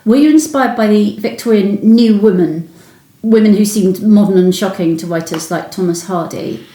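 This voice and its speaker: A woman's voice: mid-range voice, moderate pace, warm tone. A woman interviewer putting thoughtful, conversational questions in a book interview. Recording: echoey room.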